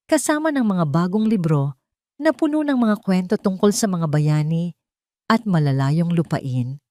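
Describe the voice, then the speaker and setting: A Filipino woman narrates a scripted drama in a fairly low voice. Her storytelling is measured and solemn. The recording is dry and close, with very little room sound. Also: a little mellow, dry recording.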